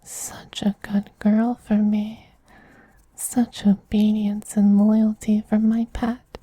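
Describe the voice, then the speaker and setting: A man voicing a doting, possessive femboy vampire queen in a soft, breathy whisper, slow, tender and gently commanding, as in an ASMR roleplay. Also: high voice, completely flat delivery, no echo, low-quality recording. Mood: gently dominant.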